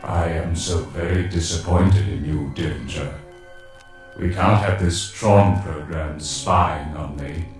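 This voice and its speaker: A cold, domineering computer tyrant with a deep metallic voice. It speaks slowly and imperiously, drenched in echo, as if issuing orders from inside a machine in a recorded story. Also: male voice, steady tone. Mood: cold menace. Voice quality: metallic, booming. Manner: slow, imperious. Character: menacing male master-control computer, cold, commanding, domineering. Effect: metallic echoing computer voice treatment. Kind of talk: read-along record dramatization. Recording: echo-drenched, distant, music underneath.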